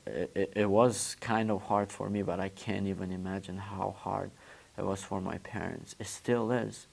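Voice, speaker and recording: A young man from Uzbekistan whose first language is Turkish, answering interview questions in a halting way and often repeating words as he searches for phrasing. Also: low voice, creaky, a little mellow. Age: 20s. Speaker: guest, former student athlete.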